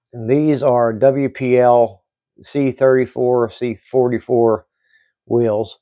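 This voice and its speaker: A middle-aged man giving a casual hands-on hobby review in halting, explanatory short phrases. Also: mid-range voice, dark tone, no echo, highs cut off. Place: small indoor hobby workbench.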